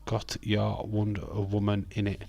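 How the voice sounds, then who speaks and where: A man with a slightly croaky voice hosting a live stream, chatting in a rambling, friendly way with lots of hesitant fillers. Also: on the low side, completely flat delivery, measured pace, a little mellow, no room sound. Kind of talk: casual live watch-along chat, reading viewer comments.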